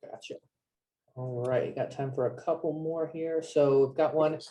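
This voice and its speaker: Brief: male moderator, warm dark tone, relaxed, reads viewer questions. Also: fairly high pitch, a little slow. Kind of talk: reading audience questions.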